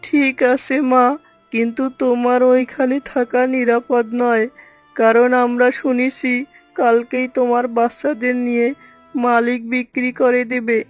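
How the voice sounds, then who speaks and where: A woman's voice: on the high side, flat delivery, unhurried, faint music behind, close to an everyday voice. A woman voicing a young baby snake in a children's cartoon, childlike, clingy and earnestly pleading. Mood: worried.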